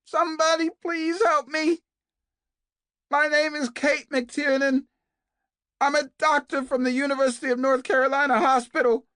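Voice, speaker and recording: A narrator voicing a desperate captive woman doctor who shouts frantically for help. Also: high voice, flat delivery, normal speed, no room sound, clean studio sound, voice pushed up.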